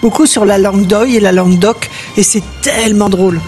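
A woman's voice: medium pitch, steady tone, a little fast, a little mellow, faint music behind. A woman guest chatting on a radio show, enthusiastic and a little hesitant. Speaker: actress guest. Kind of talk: casual chat.

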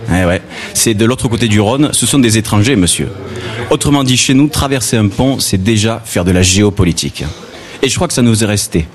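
A man's voice: on the low side, expressive, a little fast. A male comedian from southern France with a Gard accent, performing a wry stand-up monologue and addressing the audience directly. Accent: southern French, Gard. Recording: faint background noise.

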